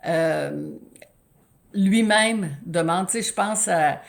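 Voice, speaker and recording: A woman in her sixties with a Quebec French accent, a sports broadcaster telling stories in an animated interview, often restarting her sentences. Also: on the low side, slow, vocal fry. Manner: animated, storytelling with many restarts. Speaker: guest, sports play-by-play announcer. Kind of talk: conversational interview.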